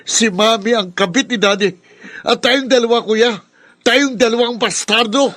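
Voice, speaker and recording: A man's voice: extremely high pitch, moderate pace, a bit bright, no echo, studio quality. A man acting a hot-headed, scornful son in a Filipino radio drama, with an emotional, theatrical delivery. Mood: distraught, angry.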